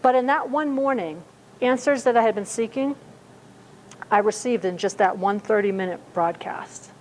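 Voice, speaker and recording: A woman's voice: medium pitch, normal speed, a little hiss or hum, rough recording. A woman in her fifties preaching a sermon in a conversational way, with many fillers and restarts. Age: 50s. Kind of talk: sermon at a church service.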